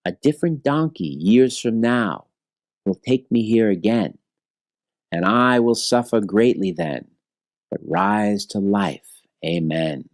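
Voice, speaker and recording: Guy reading as a devout young boy in a kids story, gentle, solemn, takes his time. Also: fairly low pitch, lively intonation, takes it slowly, no echo, voice pushed down. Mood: solemn foretelling.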